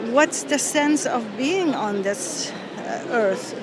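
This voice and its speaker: A woman from Lebanon telling her personal faith story in an interview, earnest and halting, with many pauses and fillers. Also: on the high side, expressive. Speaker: interview guest. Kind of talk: personal testimony. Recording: outdoor street noise behind.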